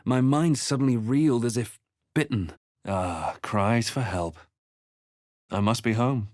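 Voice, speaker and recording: A man playing a brooding, wry adventurer hero in a fantasy game, speaking in a measured, grave, literary way. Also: mid-range voice, darkish tone, no echo, clean studio sound, natural voice. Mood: solemn. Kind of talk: scripted game voice lines and narration.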